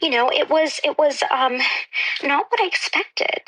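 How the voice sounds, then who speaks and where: A naive young wife on a comedy call-in, embarrassed and hesitant. Her high, bright voice sounds close and dry as she sheepishly confides in halting phrases. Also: no room sound, poor audio. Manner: hesitant, sheepish, breaks into whispers.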